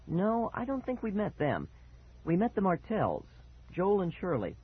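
A man voicing a brisk, sensible, good-natured mother in a storybook reading, on narrow, tinny audio. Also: high-pitched, wildly swooping pitch, breathy edge, voice pushed up, full character voice. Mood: pleasant.

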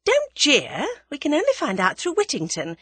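A woman voicing a bold, impulsive young English woman in a dramatised audiobook, bright and emphatic. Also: high-pitched, huge pitch swings, moderate pace, no echo, voice pushed up. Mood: scheming, brisk. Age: young adult.

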